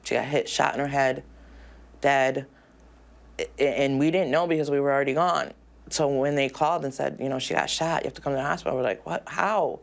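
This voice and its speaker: A woman in her forties, an inmate awaiting trial, speaking in a documentary interview in a halting, emotional way with many ums. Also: very deep voice, steady tone, vocal fry. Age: 40s.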